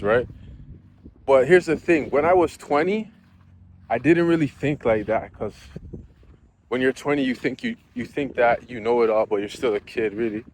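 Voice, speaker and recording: A man's voice: mid-range voice, a little hiss or hum. Earnest man in his thirties, reflecting. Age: 30s. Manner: earnest, conversational, frequent "you know". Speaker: vlogger. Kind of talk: reflective casual monologue. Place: outdoors near geese.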